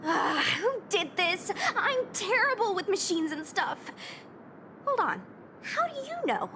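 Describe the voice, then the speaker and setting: A woman's voice: very high-pitched, big pitch swings, unhurried, bright, forceful tone, a little hiss or hum, heavily acted. A woman voicing a brash, bossy young female knight in a video game, with an informal, assertive delivery. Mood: flustered, surprised. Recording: music behind the voice.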